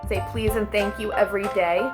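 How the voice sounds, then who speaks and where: A young woman hosting a children's storytime, reading picture books aloud in a gentle sing-song way with soft, drawn-out refrains. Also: mid-range voice, steady tone, music in the background.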